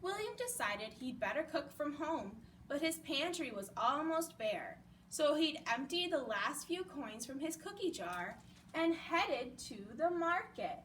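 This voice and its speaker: A woman reading a picture book aloud at a children's storytime, expressive and explaining things for young listeners. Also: sits high, measured pace. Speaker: library storytime reader. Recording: distant mic with faint background noise.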